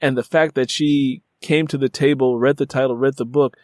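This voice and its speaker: A man with a mid-range voice hosts a conversational author interview. He rambles, circling back through his points like an animated storyteller. The sound is dry, with no room around it. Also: medium pitch, moderate pace, dry recording. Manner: animated storyteller who acts out remembered exchanges; rambling, repeats "you know". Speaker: interviewer and novelist.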